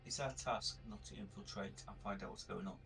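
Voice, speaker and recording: A man playing a tabletop role-playing game, reasoning through plans in character in a deliberate way. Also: on the low side, steady tone, gravelly, a bit bright, recorded from a distance, soft background music. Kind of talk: in-character planning talk.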